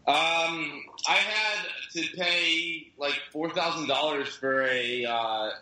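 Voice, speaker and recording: A man's voice: fairly high pitch, slow pace, sharp tone, echoey room, low-quality recording. A young man with a nasal voice, rambling through profane, jokey stories in casual podcast chat. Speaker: podcast co-host.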